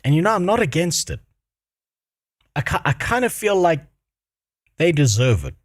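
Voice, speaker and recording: A man's voice: a little high, sing-song, normal speed, no echo, clean studio sound. A man co-hosting a stream, reacting bluntly and crudely, rambling with lots of fillers. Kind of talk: casual reaction chat.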